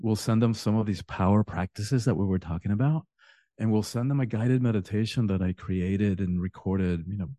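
A man's voice: lowish voice, normal speed, dark tone, no room sound, clean studio sound. A man born in Cuba, an author talking as a podcast guest, earnest, often repeating words as he begins a thought. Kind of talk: casual interview talk.

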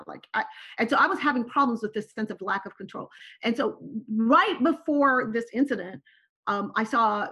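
A woman's voice: fairly high pitch, breathy edge, poor audio. A woman whose first language is Turkish, telling personal stories as a guest and often adding "right?" to her sentences. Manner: animated, tags phrases with "right?". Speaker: podcast guest. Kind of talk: personal storytelling in conversation.

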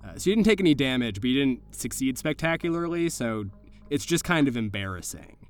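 A man's voice: medium pitch, very animated, fairly quick, faint music behind. A man running a tabletop horror game as game master, narrating casually with wry, colloquial, sweary asides.

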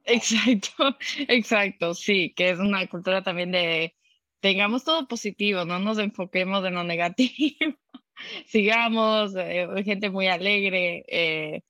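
A woman's voice: medium pitch, measured pace, bright, forceful tone, no room sound. A woman in tech, a podcast guest, talking in an upbeat way and laughing often. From born in the United States, raised in the Dominican Republic, Ecuadorian family. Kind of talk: conversational interview.

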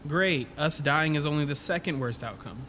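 Sarcastic upbeat tech in a sci-fi podcast, highish voice, noisy background. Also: male voice, normal speed, sharp tone. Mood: wry. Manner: casual, sarcastic. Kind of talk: sci-fi audio drama acting. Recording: clear background noise, sound effects.

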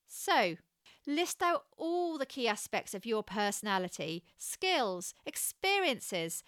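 A woman with a West Country English accent, giving scripted coaching advice in a measured, encouraging way. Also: fairly high pitch, fairly bright tone, clear, resonant tone, dry recording, studio quality. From England. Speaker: communication coach.

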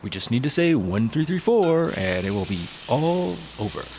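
A man as a cocky, animated slacker buddy. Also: mid-range voice, moderate pace, slight background noise, low-quality recording. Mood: cocky, casual. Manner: breezy, argumentative. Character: cocky, laid-back, hungry buddy-cop slacker. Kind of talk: sci-fi comedy audio drama acting.

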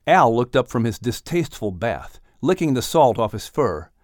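A man's voice: medium pitch, sing-song, normal speed, no echo, studio quality. A man announcing and narrating a children's story in an upbeat, scripted style.

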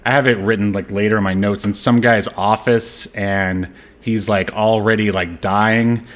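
Low-voiced man chatting about movies. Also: fairly even intonation, moderate pace. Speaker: podcast host. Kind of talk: casual movie review banter.

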